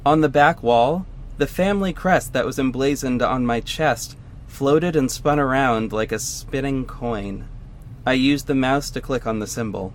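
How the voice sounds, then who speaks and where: A man's voice: medium pitch, a little slow, a little hiss or hum, natural voice. A man playing a vigilante hero, narrating his own story in scripted first person for an audio drama. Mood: intrigued.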